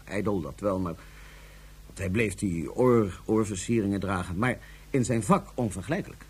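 An actor playing a composed, calculating ruler on Mars who speaks formally and deliberately, with small hesitations, in a radio drama. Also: male voice, fairly low pitch, expressive, slightly rough. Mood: thoughtful, commanding. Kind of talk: Dutch science fiction radio drama acting.

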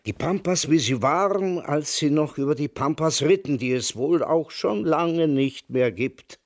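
An actor playing a wry, embittered old camp survivor in a radio drama, dry and sardonic in conversation. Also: male voice, on the high side, lively intonation, a little slow, dry recording. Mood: bitterly nostalgic. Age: older.

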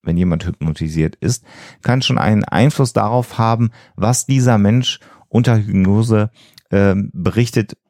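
A man's voice: fairly low pitch, measured pace, a little mellow, dry recording, studio quality. A man, co-hosting a podcast and explaining things in an earnest, emphatic way. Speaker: podcast co-host.

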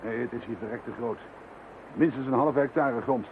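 An actor playing a commanding, cautious police officer in a Dutch radio drama, giving orders in an authoritative manner. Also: male voice, mid-range voice, natural voice. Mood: wary. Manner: brisk, authoritative. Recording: muffled narrow-band phone-like audio, noisy.

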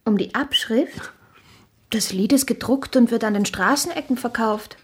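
An actress playing a young, blunt and teasing shopkeeper's daughter in a radio drama, plain-spoken and direct. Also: female voice, on the high side, moderate pace, husky. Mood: teasing, surprised. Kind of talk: literary radio drama dialogue.

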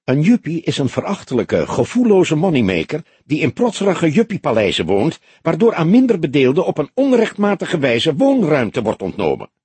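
A man performing a haughty businessman in his thirties for an audiobook. He is snappy, impatient and venomous, with lively, swooping intonation and a slightly husky edge. The sound is dry and close. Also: on the high side, normal speed, few pauses, no echo, voice pushed up, heavily acted. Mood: haughty, indignant. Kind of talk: Dutch audiobook character dialogue.

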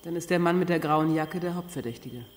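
An actress playing a brisk, inquisitive junior police detective in a radio crime drama. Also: female voice, sits low, slight background noise, lightly acted. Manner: brisk, questioning.

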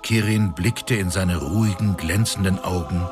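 A man's voice: low-pitched, unhurried. A man narrating a fantasy audio drama in a dramatic scripted style. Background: music.

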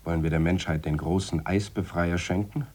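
An actor playing a wary, sardonic scientist in a radio drama, dry and sceptical in manner. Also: male voice, low voice, fairly even intonation, fairly quick, darkish tone, husky. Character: wary, sardonic male scientist, assistant and colleague. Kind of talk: 1970s radio drama acting.

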